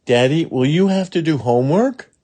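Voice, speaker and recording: Storybook role: inquisitive young girl, played by a man, lifted pitch, earnest and frank. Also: lively intonation, moderate pace, warm tone. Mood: curious. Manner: questioning, earnest. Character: curious, outspoken eight-year-old schoolgirl in a storybook.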